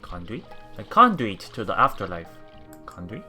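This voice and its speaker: Casting: excitable let's play streamer, bright voice, very animated intonation, casual read-aloud narration. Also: mid-range voice, moderate pace, faint music behind. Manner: excitable, repeats words and phrases. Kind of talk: reading game text aloud with casual reactions.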